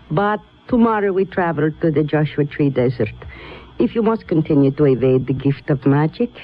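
A woman playing a crafty, stern old sorceress and teasing mentor in a 1970s radio drama, speaking in grand, deliberate phrases. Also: low voice. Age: older. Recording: dull, lo-fi vintage radio recording.

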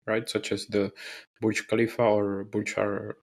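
A man's voice: on the low side, monotone, unhurried. A man hosting an interview, curious and easygoing, asking follow-up questions. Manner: curious, asks follow-up questions, laughs easily. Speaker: interviewer, host. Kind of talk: casual interview.

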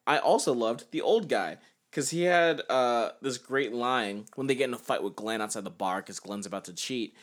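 Man in his twenties, rambling jokey podcast talk, mid-pitched voice. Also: normal speed. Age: 20s. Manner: joking, profane, rambling. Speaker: podcast co-host. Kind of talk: casual chat.

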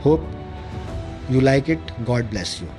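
A man from India with an Indian accent and Hindi as his first language gives an informal motivational self-help monologue as a podcast host, repeating and listing his points in halting English. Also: medium pitch, animated. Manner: repetitive, emphatic listing of points; halting, non-fluent English. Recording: music playing behind the voice. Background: clear background music.

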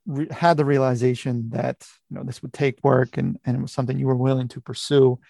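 A man hosting a podcast, asking conversational questions in halting, searching phrases. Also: mid-range voice, fairly even intonation, gravelly, warm tone, no room sound. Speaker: podcast host.

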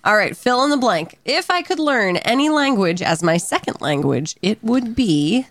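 A woman's voice: fairly high pitch, big pitch swings, moderate pace, fairly bright tone, no room sound. A woman co-hosting a podcast, reading out quiz questions in an upbeat, casual way with frequent laughter. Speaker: podcast co-host asking the questions. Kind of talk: casual chat.

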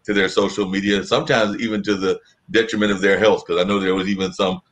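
Low-voiced man hosting an interview. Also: flat delivery, quick pace. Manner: rambling, long winding questions. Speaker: interview host. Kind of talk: conversational interview.

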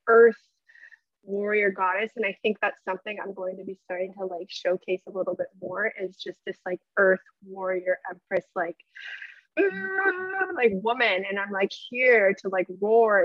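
Bubbly, energetic woman guest. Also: mid-range voice, no room sound, muffled recording. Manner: bubbly, energetic, many "like" fillers. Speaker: podcast guest, workshop leader. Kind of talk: casual spiritual chat.

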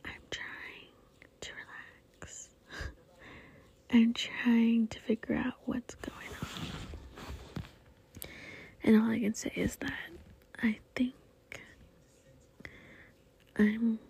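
A woman's voice: a little high, level tone, drawn-out words, vocal fry, long pauses, darkish tone. A young woman hosting a podcast, talking in a whispery, rambling, profane way as she vents casually. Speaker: podcast host. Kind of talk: casual venting monologue.

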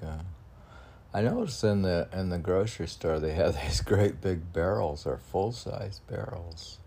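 A man reading his own poems and adding casual, rambling asides in a musing, halting manner. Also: low-pitched, dark tone, a little hiss or hum. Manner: musing, halting, talks back to own lines.

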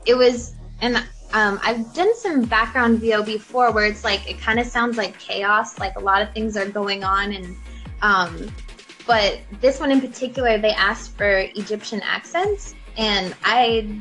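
A woman's voice: mid-range voice, unhurried, sharp tone, rough recording. Young woman, chatty guest, giggly, filler-heavy, faint music bed. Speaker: voice actor guest. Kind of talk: casual interview chat.

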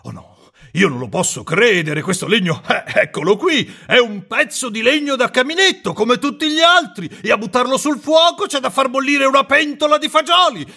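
A jittery old carpenter, pushed far up into a thin, bright register with a gravelly, husky edge. He stammers and mutters to himself, swinging between bluster and fright as the storybook goes on. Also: extremely high pitch, sing-song, a little slow, pitched above the performer's own voice, exaggerated voice. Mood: frightened. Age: older.

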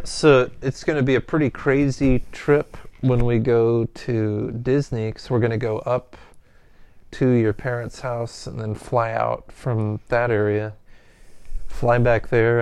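A man's voice: medium pitch, little pitch movement, a little slow, darkish tone, clear, resonant tone, dry recording. A middle-aged man co-hosting a casual podcast chat, easygoing and agreeable, telling stories in a loose rambling way. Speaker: podcast co-host.

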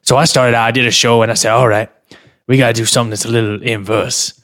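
A man's voice: mid-range voice, steady tone, fairly bright tone, lightly acted. A man playing a hesitant, stammering street performer who promotes his one-man show in an improvised comedy interview. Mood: bumbling. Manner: halting, stammering, full of filler sounds.

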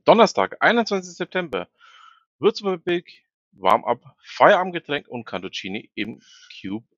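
A man's voice: medium pitch, a bit bright, breathy edge, no echo, lo-fi sound. Man with numb, slurred dentist mouth. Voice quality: slightly slurred articulation. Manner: informal asides, addresses listeners directly. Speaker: newsletter podcast host. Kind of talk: reading regional news roundup.

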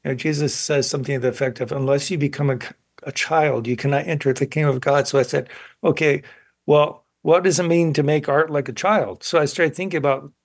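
A man in his sixties talking reflectively in an interview, thoughtful and halting as he searches for words. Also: fairly high pitch, steady tone, no echo, studio quality. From grew up in South Korea as child of missionaries. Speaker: guest, painter.

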